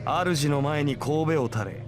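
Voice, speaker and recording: A man's voice: fairly high pitch, normal speed, close to an everyday voice. An actor playing an arrogant, mocking king in a visual novel, haughty and imperious in his disdain. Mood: imperious, possessive. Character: arrogant, mocking, possessive male king villain. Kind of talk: Japanese visual novel voice acting. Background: game music.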